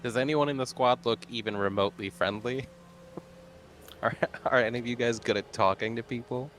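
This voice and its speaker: A man's voice: mid-range voice, normal speed, a bit bright, slight background noise. A man playing a tabletop roleplaying game, talking casually in character with a dry, wry manner.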